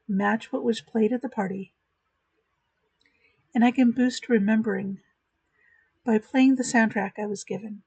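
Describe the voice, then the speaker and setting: A woman hosting a virtual church chat, giving a calm, reflective spoken meditation. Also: fairly high pitch, creaky, breathy edge, no echo, poor audio.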